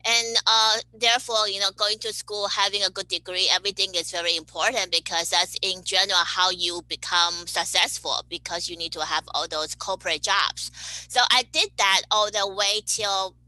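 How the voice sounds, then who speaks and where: A woman's voice: medium pitch, steady tone, moderate pace, pushed and bright, dry recording, low-quality recording. A woman from Taiwan, a podcast guest answering questions cheerfully. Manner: cheerful, laughs between answers. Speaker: guest, cosmetic chemist.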